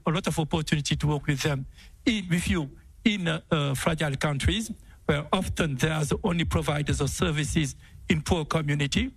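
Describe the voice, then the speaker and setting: Gravelly, husky Senegalese man. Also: a little high, no room sound, poor audio. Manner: halting, repeating words. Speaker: development bank executive on a panel. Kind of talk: answering an audience question at a town hall.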